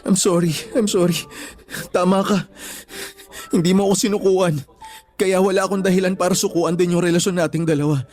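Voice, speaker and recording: Actor for troubled young boyfriend in a radio romance; higher male voice, earnest, apologetic, full of self-doubt. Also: level tone, fairly quick, long pauses, darkish tone, soft background music, natural voice. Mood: remorseful, doubtful. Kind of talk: Tagalog radio drama acting.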